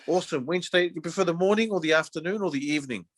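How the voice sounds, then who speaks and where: A man's voice: a little high, very fast, no echo, studio quality. A man with a New Zealand accent talking in a casual, friendly sales style as a real estate agent, often padding his sentences with "you know". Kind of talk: casual, conversational sales talk.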